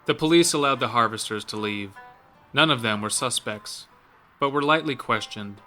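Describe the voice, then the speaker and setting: A man's voice: medium pitch, normal speed, bright, forceful tone, faint music behind. A man narrating a scripted horror story as the narrator of an audio drama.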